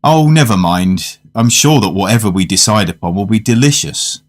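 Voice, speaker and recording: An actor playing a polite, curious gentleman diner in a radio drama, measured and formal in wording. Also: lowish voice, lightly acted. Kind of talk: radio drama narration and dialogue.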